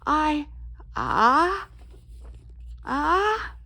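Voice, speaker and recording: A woman plays a fretful storybook elephant with a high voice that swings widely. He speaks slowly and haltingly, then bursts out with great drama. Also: sing-song, gravelly, bright, forceful tone, full character voice. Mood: despairing. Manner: dramatic halting delivery with big outbursts. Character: anxious, melodramatic male elephant, sneezing and fretful.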